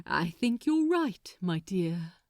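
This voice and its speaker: A woman's voice: fairly low pitch, sing-song, takes it slowly, vocal fry, a little mellow, no room sound, clean studio sound, voice pushed down, heavily acted. Woman playing a genteel, tipsy male angel. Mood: amused. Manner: polite, unconcerned, old-fashioned.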